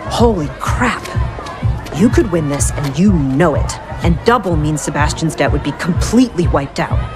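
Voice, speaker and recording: An actress playing a pushy, sassy young friend, persuasive and teasing, in a video game cutscene. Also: female voice, low-pitched, lively intonation, normal speed, over music, a crowd in the background. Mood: urging, teasing.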